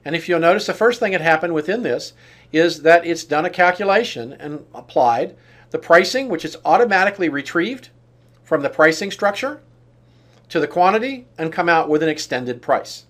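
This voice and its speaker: A man with a somewhat high-pitched voice presents a software demo. He talks through each on-screen action step by step, explaining as he goes in the manner of a tutorial. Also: animated, normal speed. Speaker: software demonstrator. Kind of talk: walkthrough tutorial narration of on-screen steps.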